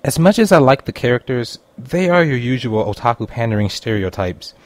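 A man's voice: mid-range voice, animated, fairly quick, dry recording. A man reading a scripted review as an online critic, in voice-over. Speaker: anime reviewer.

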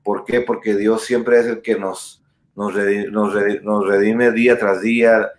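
A low-voiced man of thirty speaks over a webcam mic, his words bouncing around a small echoey room. He talks earnestly and haltingly, circling back with fillers and restarts as he explains things. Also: level tone. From born in Texas, raised in San Diego and Tijuana. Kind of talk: casual interview over video call. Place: small room indoors at home. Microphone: webcam mic on video call.

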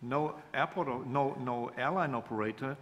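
A man's voice: mid-range voice, moderate pace. A middle-aged man speaking on a conference panel, hesitant and repeating words as he searches for them. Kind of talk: panel discussion. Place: large conference hall. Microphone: table microphone. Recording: reverberant hall, faint room noise.

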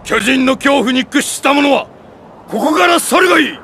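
A man playing a stern, composed old army commander in an anime game, delivering deliberate, commanding oratory. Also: very high-pitched, animated, pushed and bright, a little hiss or hum, exaggerated voice. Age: older. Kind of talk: dramatic anime game acting. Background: music.

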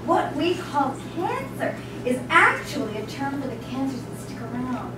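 A woman's voice: high voice, big pitch swings, normal speed. Nervous, wistful female dater played by a stage actress, swooping delivery, very echoey hall. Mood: nervous prattling. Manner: prattling, earnest. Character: chatty, nervous, wistful woman on an internet date. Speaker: stage actor. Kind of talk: dramatic stage reading. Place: small stage before a seated audience. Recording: distant mic, echoey hall, noisy.

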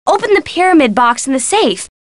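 A woman's voice: high-pitched, sing-song, a little fast, no room sound. An actress playing a perky, playful young friend of a girl detective, giving eager, chummy hints in a video game. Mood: helpful.

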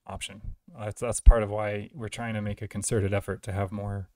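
Lowish-voiced man, away from the mic. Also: little pitch movement, normal speed, crowd noise. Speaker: card game player. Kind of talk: casual chat during an online card game. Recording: distant-sounding mic, dry online voice chat.

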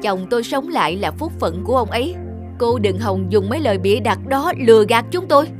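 A woman plays a stubborn middle-aged village wife in a cartoon. Her voice is fairly high, scolding and insistent, with music behind it. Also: animated, fairly quick, natural voice. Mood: indignant. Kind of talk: cartoon voice acting.

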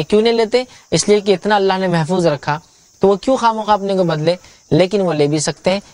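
A man's voice: high voice, a little mellow, no room sound. Male preacher, middle-aged, studio lecture; insistent, restating every point, dry recording. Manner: repetitive, emphatic explaining with many restatements. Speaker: Islamic preacher. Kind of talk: religious lecture answering a question.

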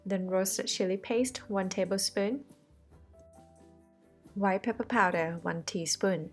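A woman's voice: medium pitch, slow, soft background music. A woman, the host of a cooking channel, talking through a recipe step by step in a friendly, reassuring voice-over. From Thailand.

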